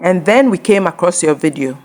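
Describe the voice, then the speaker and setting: A woman's voice: on the low side, animated, brisk, a little mellow. A woman hosting her own channel, carefully translating an interview into English; Ga is not her first language.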